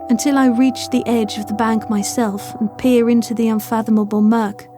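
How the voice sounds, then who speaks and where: A woman reads a first-person horror tale in a fairly high voice. Her delivery is measured and dramatic, with a haunted feel. Atmospheric music plays clearly behind her. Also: flat delivery. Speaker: audio fiction narrator. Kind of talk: scripted first-person horror story narration. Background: atmospheric new-age music.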